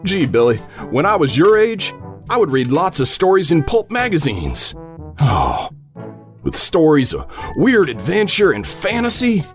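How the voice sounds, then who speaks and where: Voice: medium pitch, wildly swooping pitch, measured pace. A performer playing a wholesome, hammy gee-whiz dad in a parody of old radio advertising. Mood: earnest, corny. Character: corny, wholesome 1950s-style dad in ad skit. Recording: faint music bed.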